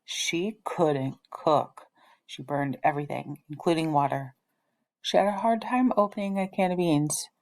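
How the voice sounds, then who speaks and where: A woman reading the part of a gentle, reserved preacher father in a storybook, in a measured, deliberate way. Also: low-pitched. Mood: wistful.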